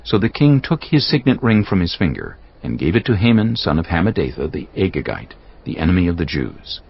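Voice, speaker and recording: A man gives a solemn, dramatic scripture narration in a very deep, gravelly voice. His delivery is deliberate yet widely inflected, and the sound is slightly muffled. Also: very animated.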